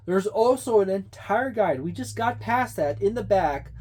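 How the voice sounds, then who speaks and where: A man's voice: high-pitched, animated, measured pace, darkish tone. A man giving an unscripted review of a tabletop game book, meandering and thinking aloud as he goes.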